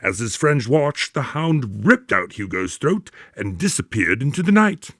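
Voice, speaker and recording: An actor playing a troubled, earnest country doctor in a radio drama, explaining things in a formal storytelling manner. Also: male voice, mid-range voice, very animated, measured pace, a bit bright, no echo, studio quality, heavily acted. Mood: grave storytelling. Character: earnest, troubled male country doctor recounting strange death. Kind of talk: modern radio drama acting.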